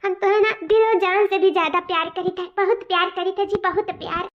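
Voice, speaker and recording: A woman's voice: extremely high pitch, steady tone, fairly quick. A woman voicing a lovestruck young female student in a cartoon comedy, pleading and repeating herself with an eastern Uttar Pradesh accent. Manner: pleading, repetitive, emphatic. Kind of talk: cartoon family comedy acting. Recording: muffled, dull audio.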